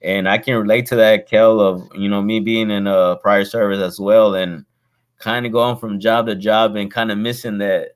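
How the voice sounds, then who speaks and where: A man hosts a casual chat in a friendly, rambling way and often drops in slang like "bro." His voice sits fairly low in pitch, and he talks loosely, with lots of restarts. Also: fairly even intonation, normal speed, clean tone. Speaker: host, real estate investor.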